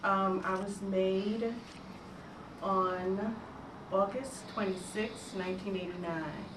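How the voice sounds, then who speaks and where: A woman talking about her own initiation in a group interview, halting, with many pauses and fillers. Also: medium pitch, fairly even intonation. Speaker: interviewee, priestess. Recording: echoey room, distant mic, loud noise.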